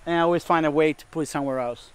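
A man's voice: on the high side, fairly even intonation, racing, clear, resonant tone. A middle-aged man with a Costa Rican accent and Spanish as his first language, giving a casual farm tour in simple English, often repeating words. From Costa Rica. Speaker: farmer showing his homestead. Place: outdoors in a tropical food forest. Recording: outdoor farm ambience, faint noise.

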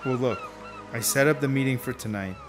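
An actor plays an earnest friend in a radio drama. He coaxes in a casual, halting way at a middle pitch, with music behind. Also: close to an everyday voice. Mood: coaxing. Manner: casual, hesitant coaxing. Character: earnest, persuasive friend of a blind woman.

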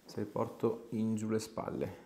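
A man, reassuring and informal as he gives a patient gentle instructions. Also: lowish voice, level tone, a little slow, slight background noise. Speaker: chiropractor. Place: small clinic treatment room. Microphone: clip-on lapel mic.

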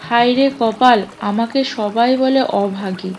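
A woman's voice: a little high, unhurried, soft background music, lightly acted. Woman playing a plaintive poor young fisherwoman. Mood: weary, hungry. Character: poor orphaned young fisherwoman, hungry, sorrowful but resilient. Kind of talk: cartoon story voice acting. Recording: clear background noise and ambience.

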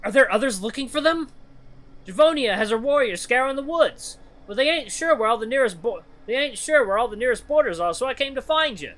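A man's voice: very high-pitched, animated, fairly quick, fairly bright tone, pitched above the performer's own voice. A man voicing a frantic honey badger messenger in a story, talking in urgent, slangy bursts.

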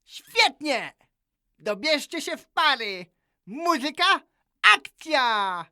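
A woman's voice: high-pitched, sing-song, extremely slow, sharp tone, no echo, clean studio sound, heavily acted. A woman voicing an exuberant, encouraging dance teacher calling out instructions in a children's audio tale. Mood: encouraging, commanding.

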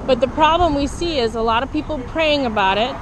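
A woman's voice: high-pitched, fairly quick, background noise. A woman activist talking earnestly and insistently with someone in a church parking lot, recorded on a body camera. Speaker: abortion abolitionist activist. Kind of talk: outdoor street conversation. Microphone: body camera worn by speaker.

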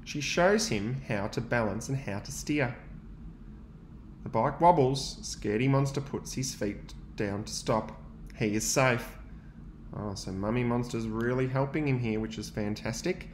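Aussie guy teacher reading a kids book, takes it slow, roomy echo. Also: mid-range voice, a little hiss or hum. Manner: gentle, expressive storytelling with pauses to ask questions. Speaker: teacher reading aloud to young students. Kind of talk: children's picture book read-aloud.

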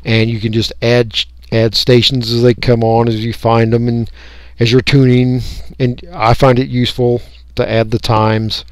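An American man giving a casual, unscripted software walkthrough, folksy and rambling as he thinks aloud. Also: mid-range voice, little pitch movement, normal speed, hardly any pauses, clean tone, no room sound. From United States. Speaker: radio hobbyist giving a software tutorial.

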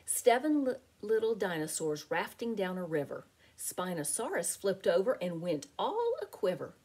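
A middle-aged woman reading a picture book aloud to children as a teacher, in an expressive, deliberate, sing-song way. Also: mid-range voice, breathy edge. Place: small home room with window blinds.

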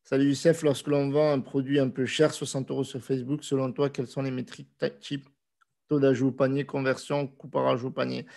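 A man's voice: medium pitch, fairly quick, creaky. A man in his thirties with a cold, casually answering viewer questions in a live stream from a small room on a video call with a desk microphone. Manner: relaxed, conversational, with frequent hesitations. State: has a cold.

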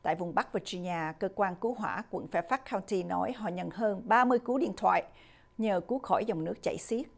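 A middle-aged woman anchors a studio newscast, reading the script in a steady, formal manner. Her voice sits at a middle pitch with a gravelly, fry-tinged edge, picked up close on a lapel mic. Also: brisk. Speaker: news anchor. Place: studio with a virtual backdrop.

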